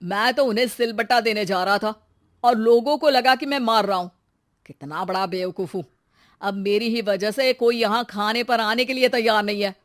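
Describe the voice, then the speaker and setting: A woman voicing a gullible, bossy village husband in a cartoon story, loud and commanding. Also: fairly high pitch, normal speed, lightly acted. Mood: regretful.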